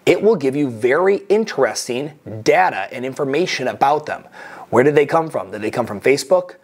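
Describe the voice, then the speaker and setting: A middle-aged man talks straight to the camera from a small room while he walks through slides. His voice sits at an ordinary middle pitch. He coaches plainly and directly, stacking up practical tips. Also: expressive, fairly quick, slightly rough. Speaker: course instructor. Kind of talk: recorded slide lesson. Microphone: webcam inset over slides.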